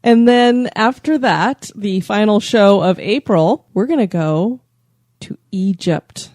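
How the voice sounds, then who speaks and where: A woman hosting a podcast in casual chat, chatty and quick to laugh. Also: medium pitch, darkish tone, no echo, clean studio sound. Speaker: podcast host.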